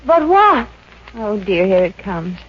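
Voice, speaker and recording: A woman's voice: mid-range voice, sing-song, slow pace. An actress playing a wisecracking, breezy young working woman with a wry, brassy manner in a 1950s radio comedy. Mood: flustered, wry. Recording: muffled, noisy vintage radio recording.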